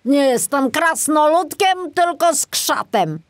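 A woman's voice: high voice, expressive, slow pace, dry recording, clean studio sound, pitched above the performer's own voice, heavily acted. A woman voicing a small male forest sprite in a storybook, formal, polite and touchy, given to admonishing. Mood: indignant.

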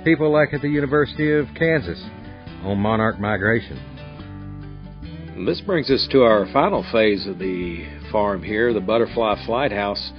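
A middle-aged American man narrating a tour as a farm guide. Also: on the low side. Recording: dull lo-fi sound, guitar music behind. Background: acoustic guitar music.

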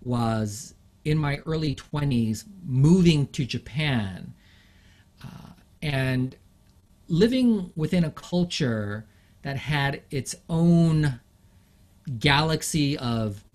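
Thoughtful middle-aged man, animated delivery. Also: fairly high pitch, slow pace. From England. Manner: thoughtful, animated, with frequent fillers and laughs. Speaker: interview guest, artist. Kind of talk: reflective interview conversation.

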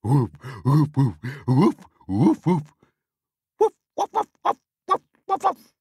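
Little bony cartoonish dog for a kids storybook, excited woofy barking, pitch all over. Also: male voice, slow pace, slightly rough, dry recording, heavily acted. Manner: barked, exclamatory woofs. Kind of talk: children's storybook character voice.

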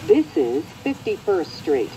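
An American woman reading scripted transit announcements in a measured, formal manner. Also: medium pitch, very animated, noisy background.

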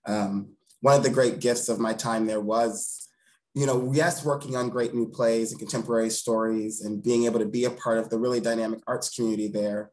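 A man's voice: fairly low pitch, steady tone, a little fast, darkish tone, rough recording. A man in his thirties, a theatre director, talking casually as a guest on a video call from his living room. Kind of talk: casual conversational interview.

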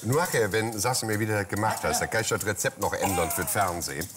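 A man's voice: fairly low pitch, quick pace, over music. A middle-aged man hosting a cooking show from a studio kitchen, bantering in a teasing, mock-indignant way. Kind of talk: joking banter while cooking. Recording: studio with clear background noise.

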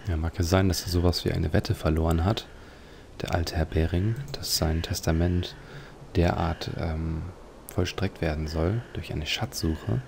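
A man giving casual, musing, unhurried commentary as he plays a video game. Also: low-pitched, steady tone, vocal fry, a little mellow, a little hiss or hum. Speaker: let's player.

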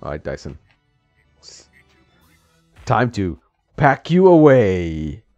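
Lively-voiced man joking over gameplay. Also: on the low side, takes it slowly, dry recording. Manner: joking, laughs often, talks to the game. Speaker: gameplay streamer. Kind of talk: casual let's-play commentary. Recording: slightly dull, dry sound with game music.

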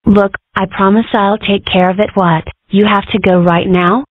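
A woman's voice: medium pitch, steady tone, normal speed, no room sound, lightly acted. Tinny narrow sound, woman playing exasperated fox mom, adult, cartoon comic dub, dry. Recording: thin, band-limited, phone-like sound.

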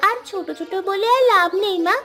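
A high, childlike voice for a cartoon village girl of eleven, acted by a woman. She is chatty, pert and sure of herself. Faint music plays behind her. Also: a little fast, sharp tone. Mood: insistent, confident. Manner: pert, insistent, eager. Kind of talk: animated cartoon storytelling dialogue. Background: background music.

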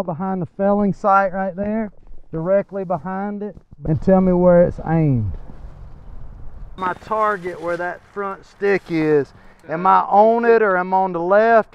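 A man with a fairly high, smooth voice gives a hands-on tree felling lesson outdoors. He works methodically through each step and often checks that his listeners are following. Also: lo-fi sound. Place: outdoors in woodland.

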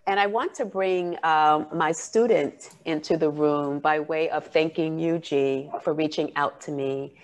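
A woman with a low voice speaks over a video call, giving an academic keynote. She talks in an earnest, measured way and leans on fillers between her thoughts. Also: steady tone.

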